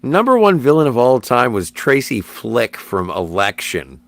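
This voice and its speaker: A man's voice: medium pitch, sing-song, unhurried, vocal fry, no room sound. A man guesting on a casual podcast, teasing the host with dry sarcasm. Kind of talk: casual banter.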